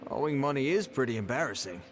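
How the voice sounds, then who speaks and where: A man's voice: mid-range voice, expressive, quick pace, background noise, natural voice. A man voicing a nervous, deferential bandit leader in a video game, polite and hesitant. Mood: puzzled.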